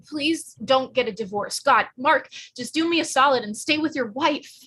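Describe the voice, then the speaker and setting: Woman doing a sarcastic pregnant teen girl in a script read, slangy and blunt, forceful husky voice. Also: high voice, normal speed. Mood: pleading, indignant. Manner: slangy, flippant, emphatic. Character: blunt, sarcastic pregnant sixteen-year-old girl, earnest underneath. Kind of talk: live screenplay table read.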